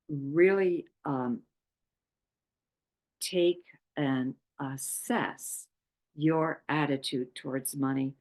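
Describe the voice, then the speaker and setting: A woman from Poland leads a talk on chakras. Her voice sits low and she takes her time, pausing often and trailing into fillers. She teaches informally and thinks aloud as she goes. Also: darkish tone. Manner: slow, halting, with many pauses and fillers. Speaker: chakra workshop presenter. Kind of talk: unscripted spiritual talk.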